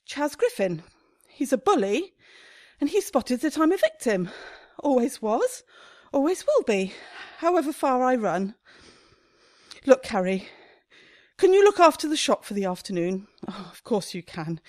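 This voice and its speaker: A woman's voice: on the high side, darkish tone, no room sound, studio quality. A woman acting in a radio play as a stressed, despairing shop owner, weary, clipped and self-pitying.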